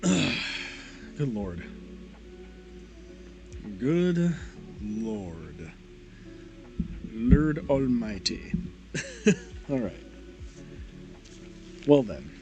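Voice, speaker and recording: A man's voice: mid-range voice, big pitch swings, very slow, pauses often, darkish tone, soft background music, rough recording. A man streaming a model-kit build, chatting casually in a slightly nasal, tired voice with lots of fillers. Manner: laid-back, rambling with fillers. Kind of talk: casual livestream chat.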